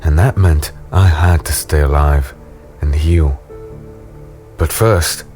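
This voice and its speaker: A man playing a battered, weary warrior who narrates a dark fantasy audio drama in a grim, halting, brooding way. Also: very deep voice. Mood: pained, grim. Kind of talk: dramatic first-person scripted narration. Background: music.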